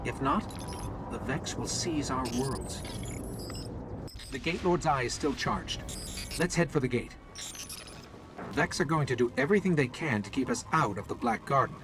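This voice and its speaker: A man voicing a small, earnest, curious robot companion in a video game, with an expressive, urgent delivery. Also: mid-range voice, a little slow, long pauses, heavy background noise, natural voice. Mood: grave, focused. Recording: game music behind the voice. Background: video game soundtrack.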